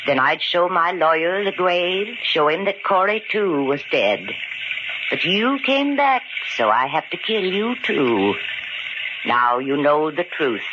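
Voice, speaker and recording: A woman playing a cold, vengeful, scheming murderess in an old-time radio mystery, speaking with theatrical, deliberate menace. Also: sits low, sing-song, heavily acted. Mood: calculating, menacing. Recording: muffled, noisy old radio recording.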